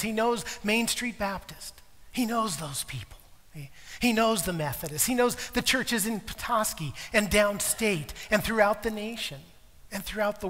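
A middle-aged man preaches from the front of a large church sanctuary, his voice pitched high for a man. He talks in a relaxed, conversational way, checking in with his congregation every few phrases. His voice rings with the long reverb of the hall, picked up on a lapel mic. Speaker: pastor. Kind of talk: sermon.

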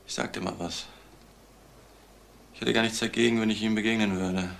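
A man playing an edgy, restless barfly in a crime drama, irritable and grumbling, with a gravelly voice. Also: on the low side, fairly even intonation, a little fast. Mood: agitated. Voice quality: creaky, gravelly. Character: edgy, restless man haunting pubs, hunted witness. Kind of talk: naturalistic crime film acting. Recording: noisy room.

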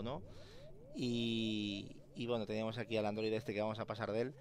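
A man's voice: medium pitch, gravelly. A man with an accent from Spain doing casual gameplay commentary. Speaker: gaming YouTuber. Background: game ambience.

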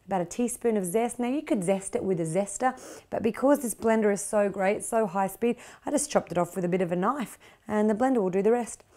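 Woman, 30s, Australian accent, cooking show host, chatty and gushing, mellow warm tone, home kitchen. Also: mid-range voice. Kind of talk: cooking demonstration.

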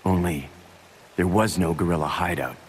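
An American actor playing a brooding, embittered ex-Marine convict in a video game, gruff, terse and weary. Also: male voice, sits low, normal speed. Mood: grim, haunted. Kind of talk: video game cutscene acting.

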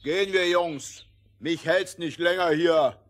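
A brawny, boastful strongman from a radio fairy tale. He speaks slowly in a slightly high voice, eager for a fight. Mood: cheerful, confident.

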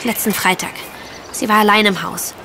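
A woman's voice: mid-range voice, steady tone, moderate pace, background noise, natural voice. An actress playing a young housemaid in a radio play, simple, guileless and emotional in her earnestness. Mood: earnest, grieving. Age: young adult. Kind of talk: German radio play acting.

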